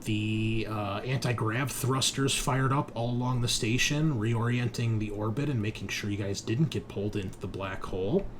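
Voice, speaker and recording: A man's voice: fairly low pitch, normal speed, hardly any pauses. Man game master with noisy background. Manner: informal, conversational storytelling. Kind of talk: recapping a tabletop game session.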